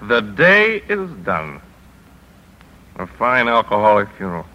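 Forceful, sharp-voiced cynical newspaper editor. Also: male voice, fairly low pitch, wildly swooping pitch, unhurried, muffled recording, slight background noise, exaggerated voice. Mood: drunk, maudlin. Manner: punchy, sardonic, world-weary. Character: hard-driving, cynical newspaper editor, weary and bitter. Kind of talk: 1950s radio drama acting.

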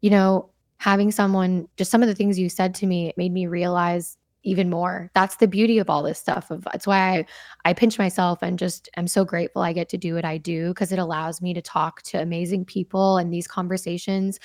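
A woman's voice: fairly low pitch, flat delivery, fairly quick, darkish tone, no room sound, clean studio sound. A young woman chatting earnestly as a podcast guest, laughing easily as she talks about her work. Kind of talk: casual interview chat.

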